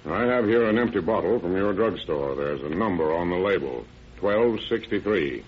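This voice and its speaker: Casting: confident lawman for vintage radio drama; deep, firm, businesslike, dull period sound. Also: animated, normal speed, slightly rough. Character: confident, methodical male sheriff, firm and brisk. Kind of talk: old-time radio drama acting. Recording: dull, noisy old radio recording.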